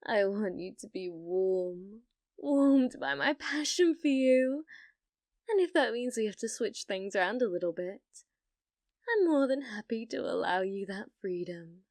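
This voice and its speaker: Woman acting a slow, sugary, possessive yandere girlfriend. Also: mid-range voice, lively intonation, darkish tone, no echo. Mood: tender, doting. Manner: sugary, coaxing, with veiled menace. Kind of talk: ASMR roleplay, addressing the listener as a date.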